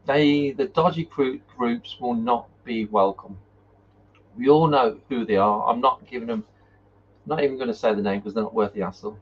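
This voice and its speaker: A man hosting a casual paranormal live chat and reading out viewer comments, talking in an informal, halting way. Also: mid-range voice, husky. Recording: muffled, noisy live-stream audio.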